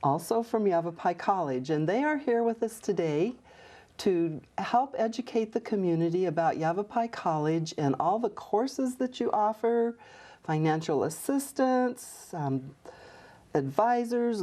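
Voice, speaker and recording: A woman hosting a community TV interview show, speaking in a measured way with frequent ums. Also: medium pitch, lively intonation, dark tone. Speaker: host, city mayor. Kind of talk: local TV interview. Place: TV studio.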